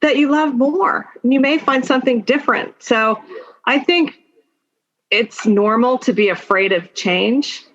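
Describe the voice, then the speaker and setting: A woman speaking as an interview guest in a conversational, informal way, thinking aloud in halting phrases with many asides. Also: fairly high pitch, a bit bright. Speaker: podcast guest, attorney and executive. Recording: dull lo-fi sound.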